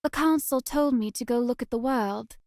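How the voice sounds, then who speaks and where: A woman playing a solemn, mysterious young witch girl in a visual novel, speaking in formal, measured phrases. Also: fairly high pitch, a little mellow, no echo, clean studio sound, close to an everyday voice. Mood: matter-of-fact. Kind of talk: visual novel character acting.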